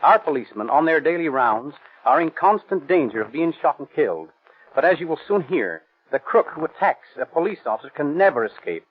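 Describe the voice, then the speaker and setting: A man's voice: a little high, big pitch swings, fairly quick, slightly rough. An American man speaking as a police captain, giving a stern, stiffly read formal radio address. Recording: muffled noisy 1930s radio recording.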